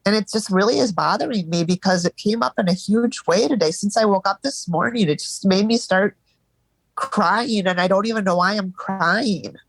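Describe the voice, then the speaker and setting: A woman in a live therapy session over a call, speaking haltingly and searching for words, stuffed up from crying earlier. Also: medium pitch, dry recording, rough recording. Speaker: therapy client.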